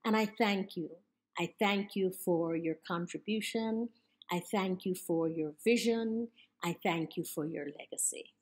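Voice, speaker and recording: An older woman with a Jamaican accent, a poet giving a measured, emphatic spoken tribute over a video call from her home study. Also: on the low side. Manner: measured, emphatic, with list-like repetition. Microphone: video call webcam.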